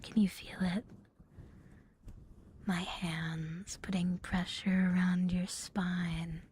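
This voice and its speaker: A woman's voice: lowish voice, flat delivery, slow, darkish tone, a little hiss or hum. A woman whispering breathily in an intimate, soothing ASMR roleplay as a catgirl girlfriend, very close to the microphone. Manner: mostly whispering, close and soothing, lingering on repeated words. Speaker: ASMR performer.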